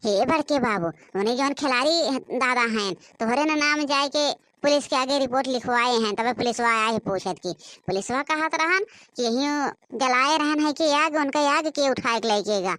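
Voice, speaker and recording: Woman acting high-voiced Awadhi village sister-in-law. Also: normal speed, a bit bright. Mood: urgent reporting. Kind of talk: rustic cartoon comedy dialogue.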